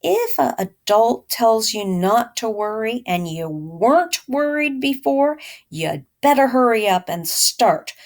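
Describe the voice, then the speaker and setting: A woman's voice: a little high, expressive, slow pace, hardly any pauses. A woman reading a storybook as a plainspoken, wry young boy remembering his mama.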